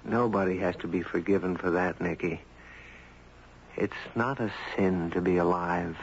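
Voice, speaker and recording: An earnest, gentle man in a 1970s radio drama. His voice sits low with a gravelly creak, and he speaks in a measured, tender way. The recording is muffled like an old broadcast. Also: fairly even intonation, slight background noise. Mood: tender, reassuring. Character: Ben, gentle, earnest man, a writer, also narrating. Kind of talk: 1970s radio drama acting, intimate narration.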